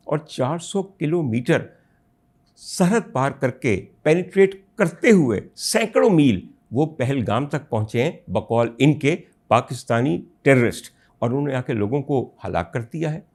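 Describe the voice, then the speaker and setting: A man with a Pakistani Urdu accent hosting a political commentary show, delivering a rhetorical monologue to his audience that builds to loud indignant outbursts. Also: mid-range voice, expressive, unhurried. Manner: addresses listeners as ladies and gentlemen.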